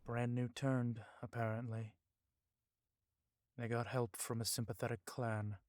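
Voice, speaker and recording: An actor playing a stern, cautious vampire police chief in an audio drama, speaking gravely with some Spanish words mixed in. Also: male voice, medium pitch, level tone, unhurried, a little mellow, no echo, clean studio sound, close to an everyday voice. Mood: measured, watchful.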